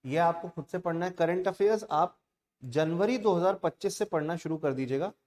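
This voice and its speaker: A man's voice: on the high side, fairly quick, darkish tone. A man with an Indian accent giving an informal online exam-prep lecture as a coaching teacher, conversational and emphatic, switching between Hindi and English.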